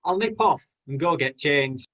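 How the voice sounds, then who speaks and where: Man as lovestruck young hero, fairly high earnest voice, declaimed pantomime rhymes, slightly dull recording. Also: expressive, a little slow, pushed and bright. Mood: eager. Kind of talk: rhyming amateur pantomime acting.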